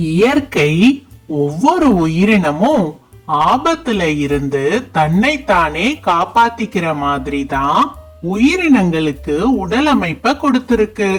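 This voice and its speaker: Woman as a slow-talking, caring cartoon deer. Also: medium pitch. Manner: patient, reassuring, explanatory. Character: wise, caring young deer friend. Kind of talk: children's animated moral story dialogue. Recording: music bed under the voice.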